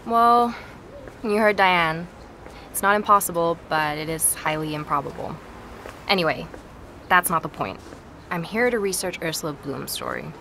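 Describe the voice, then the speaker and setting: A woman's voice: on the low side, expressive, moderate pace, pauses often, bright, forceful tone, slight background noise. A woman playing an inquisitive, stubborn podcast investigator in a naturalistic, conversational audio drama. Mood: defensive. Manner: questioning, conversational.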